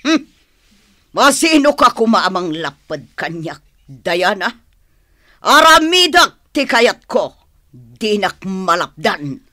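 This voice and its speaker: A woman's voice: mid-range voice, very animated, takes it slowly, long pauses, pushed and bright, no echo, studio quality, exaggerated voice. A woman playing a haughty, defiant middle-aged mother in an Ilocano radio drama, scolding melodramatically.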